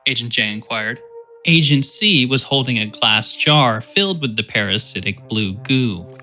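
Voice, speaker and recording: Bright-voiced male audio drama narrator. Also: mid-range voice, expressive, measured pace. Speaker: host and narrator. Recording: faint music behind.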